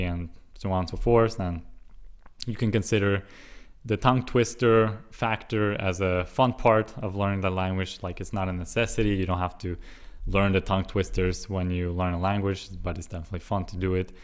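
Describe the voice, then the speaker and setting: A young man from Switzerland, with English and Russian as his first languages, talks to the camera in a relaxed, rambling way. His voice sits on the low side, and he slows right down to sound out tricky foreign phrases before drifting back into easy chat. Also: clean tone. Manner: careful and halting on hard foreign words, chatty and musing otherwise. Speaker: language-learning vlogger. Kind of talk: casual solo vlog.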